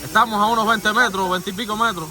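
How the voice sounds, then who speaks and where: Man, high voice, vlogger-style interviewer on the scaffolding, outdoor phone sound with music behind. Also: steady tone, fast talker. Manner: chatty, laughing, repeats words. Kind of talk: casual on-site interview. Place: outdoors on scaffolding high above a city street.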